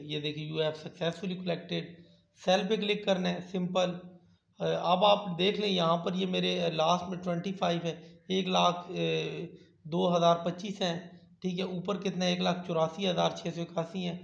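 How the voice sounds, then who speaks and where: Male host, tutorial voice-over, high and gravelly, echoey lo-fi sound. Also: flat delivery, moderate pace. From Pakistan. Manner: repeats "theek hai" often, turns persuasive and emphatic toward the end. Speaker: channel host. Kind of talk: screen-recorded tutorial talk.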